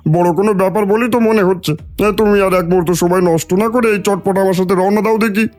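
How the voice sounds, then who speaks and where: A man voicing a fussy, hurried village errand man in an animated story, with a folksy, urging manner. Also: high-pitched, close to an everyday voice.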